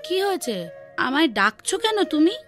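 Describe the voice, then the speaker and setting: A woman voicing village women in a children's cartoon with expressive, emphatic dramatic delivery. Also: very high-pitched, brisk, music in the background. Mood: plain, concerned. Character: village women: maid, mistress, wife, daughter. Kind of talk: Bengali children's cartoon voice acting.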